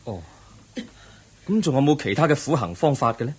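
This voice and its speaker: A man playing an expressive, husky young truth-seeker. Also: medium pitch, fairly quick. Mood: polite, probing. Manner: courteous, questioning, expressive. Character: polite, earnest, curious young male seeker of truth. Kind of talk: Cantonese radio drama acting.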